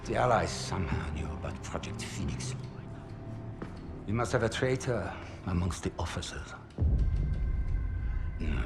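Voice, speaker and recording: A ruthless commander in a war video game, speaking slowly in a very deep, gravelly voice. Game music sounds clearly behind. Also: male voice, heavily acted. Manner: slow, cold and commanding. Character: cold, ruthless senior male Nazi commander. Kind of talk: video game cutscene acting.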